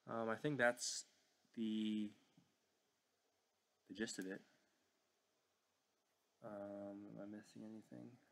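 A young man giving a casual, unscripted software walkthrough at his desk at home, hesitant and apologetic in manner. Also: fairly low pitch, level tone, slow, gravelly, pauses often. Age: young adult. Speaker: learner presenting a tutorial. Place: indoor room at home.

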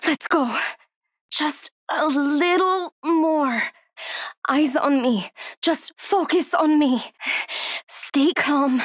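Scared young woman in a horror game, really slow urgent self-talk, very dry close sound. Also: high-pitched, no room sound. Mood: soothing, hushed. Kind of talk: video game horror voice acting.

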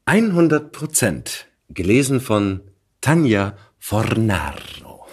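A man's voice: lowish voice, sing-song, slow, breathy edge. A man hosting a podcast in a casual, conversational style. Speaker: podcast host.

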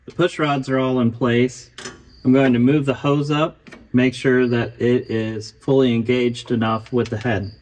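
A man calmly explaining each step of putting an engine back together, voicing over his repair work. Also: mid-range voice, a little slow, slight background noise, low-quality recording. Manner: calm, matter-of-fact explaining. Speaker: host of a car restoration channel.

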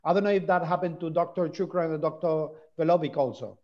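A man moderating a medical panel discussion over a video call, talking in a relaxed, quick way and steering the questions. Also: fairly high pitch. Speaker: webinar moderator. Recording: dull lo-fi video call audio.

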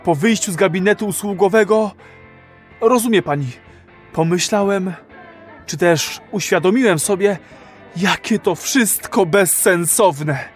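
An actor playing an earnest, rebellious citizen of a dystopian future in a radio play. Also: male voice, high voice. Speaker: actor in an audio drama.

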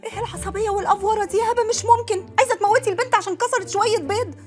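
An actress playing a calm, reasonable neighbor woman who coaxes and lectures in an Egyptian accent. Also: extremely high pitch, racing, soft background music. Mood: coaxing. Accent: Egyptian Arabic. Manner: coaxing, lecturing. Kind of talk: comedy sketch.

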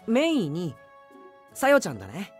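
A woman's voice: fairly low pitch, wildly swooping pitch, normal speed, soft background music. A woman playing a polite, bewildered teenage boy in an anime audio drama, hesitant and earnest. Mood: confused.

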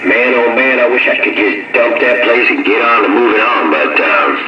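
A man's voice: on the low side, moderate pace, over loud music. A man chatting casually over CB radio in folksy slang, repeating his catchphrases. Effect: voice heard over CB radio. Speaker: CB radio operator. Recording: muffled, echoey CB radio transmission.

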